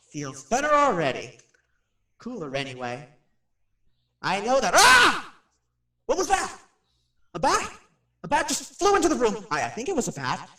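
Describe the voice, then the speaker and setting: High, animated radio personality. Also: male voice, distant mic, full character voice. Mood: uneasy, narrating, then startled, alarmed. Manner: chatty, narrates what he sees, hesitant asides. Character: skeptical, flippant radio personality recording his haunted house visit. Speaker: radio host. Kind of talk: radio drama acting, on-the-spot narration. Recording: roomy echo, off the microphone.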